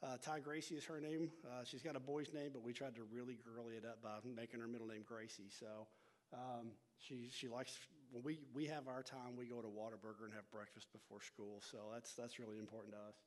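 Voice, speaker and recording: A man's voice: medium pitch, flat delivery, fairly quick. A middle-aged man with a North Texas accent, a church education pastor leading a workshop in a folksy, self-deprecating way, full of restarts and fillers. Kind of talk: casual talk to a church group, opening with prayer.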